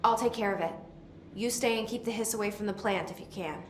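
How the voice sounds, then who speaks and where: An actress playing a composed, businesslike new director in a video game, speaking curtly and probingly. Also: female voice, mid-range voice, fast, reverberant, slight background noise, natural voice. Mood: brisk, businesslike. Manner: curt, probing questions.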